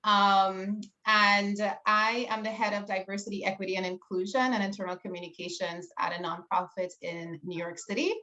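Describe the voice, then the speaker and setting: A woman's voice: mid-range voice, fairly even intonation, moderate pace, hardly any pauses, sharp tone, dull audio. A woman with a Trinidadian accent, introducing herself in a friendly, explanatory way as a panelist. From Trinidad and Tobago. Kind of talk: self-introduction on an online panel.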